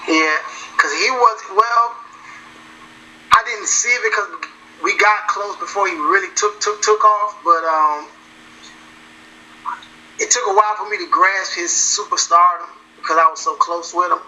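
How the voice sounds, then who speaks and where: A man, a musician talking in a casual interview, relaxed and repeating himself as he goes. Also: high-pitched, measured pace, pauses often, slight background noise, poor audio. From South Carolina. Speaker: musician guest.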